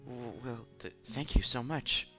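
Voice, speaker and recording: A man's voice: medium pitch, measured pace, sharp tone, highs cut off, soft background music, voice pushed up. A man playing a modest, timid young science student with a hesitant, stammering delivery. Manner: hesitant, stammering, self-effacing.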